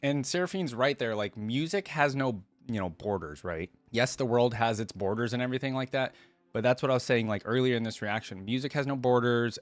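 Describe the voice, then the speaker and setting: A man's voice: on the low side, no echo. A man giving chatty, musing off-the-cuff reaction commentary, close to the microphone. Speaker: reaction video host.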